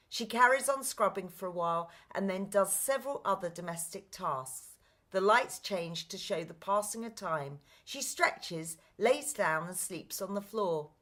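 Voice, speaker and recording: Bright, expressive woman narrator. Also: mid-range voice. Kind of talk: scripted stage-direction narration for radio play.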